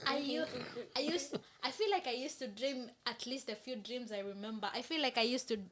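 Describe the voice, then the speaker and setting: High-voiced woman hosting a chat. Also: moderate pace, crowd noise. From Kenya. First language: Kikuyu. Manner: reflective, with frequent agreeing interjections. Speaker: host. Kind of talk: casual podcast chat.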